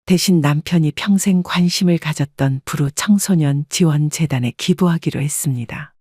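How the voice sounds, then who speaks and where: A woman narrating a scripted first-person story in a calm, reflective way. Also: low voice, normal speed, husky, no room sound, clean studio sound.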